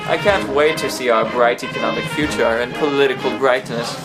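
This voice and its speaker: A man's voice: medium pitch, brisk, lightly acted. A man playing a pompous, self-satisfied celebrant with stilted delivery in an amateur puppet show, distant in a room with music. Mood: triumphant. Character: pleased, pompous Algerian celebrating victory. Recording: distant roomy mic, music.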